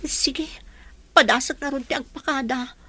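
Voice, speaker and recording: A woman playing a soft-hearted, secretive young daughter in a radio drama, plaintive and apologetic, with an Ilocano accent. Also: sits high, steady tone, normal speed. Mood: hesitant, polite. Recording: music bed behind the voice.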